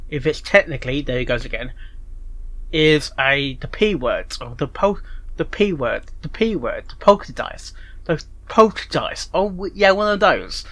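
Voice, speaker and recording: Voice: medium pitch, fairly bright tone. A reaction host chatting casually in a rambling way, repeating phrases and interjecting often. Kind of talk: casual live reaction commentary.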